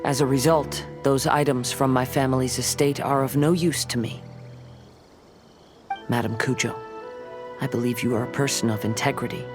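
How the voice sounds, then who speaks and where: A man playing a calm, polite young wandering swordsman in a video game, speaking gently and with measured care. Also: mid-range voice, music in the background, lightly acted. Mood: gentle, earnest.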